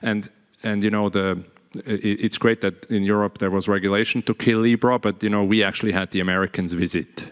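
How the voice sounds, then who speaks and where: Low flat Swiss man in a reverberant hall. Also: clear, resonant tone. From Switzerland. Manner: halting, many fillers and restarts. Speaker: panelist, blockchain entity officer. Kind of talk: panel discussion. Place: conference hall stage. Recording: long reverb of a conference hall.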